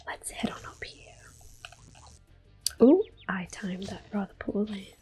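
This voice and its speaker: A woman hosting a relaxing game playthrough, talking mostly in a gentle, unhurried whisper with small exclamations here and there. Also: mid-range voice, animated, slight background noise. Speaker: gaming ASMR host. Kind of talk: soft whispered game commentary.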